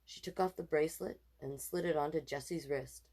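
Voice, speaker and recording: A woman reading a children's picture book aloud in an expressive, animated way, with casual asides. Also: sits low, fairly quick. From Canada. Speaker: storytime reader.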